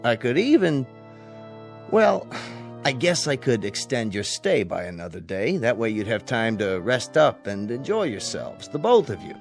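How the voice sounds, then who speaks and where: Placating casino manager, gravelly, animated, salesman-like, radio drama, faint music behind. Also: medium pitch, unhurried. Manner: persuasive, salesman-like.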